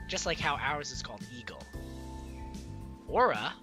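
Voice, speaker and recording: A man's voice: mid-range voice, lively intonation, moderate pace, sharp tone. A man hosting a let's play, reading a visual novel aloud with casual asides and a giggly manner. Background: game music.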